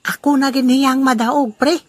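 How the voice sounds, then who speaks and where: A woman's voice: high-pitched, fairly even intonation, measured pace, no echo. A woman playing a boastful, teasing old man in an amateur audio drama, laughing often. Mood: bragging. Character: boastful old man Lolo Albino, jolly rival.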